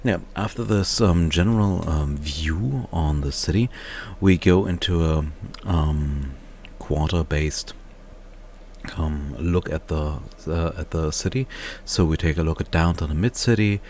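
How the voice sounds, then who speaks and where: Deep male voice, slow delivery, casual reviewer, halting and unscripted. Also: darkish tone, slight background noise. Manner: halting, searching for words, often chuckling to himself. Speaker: reviewer, video host. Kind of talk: casual off-the-cuff book review.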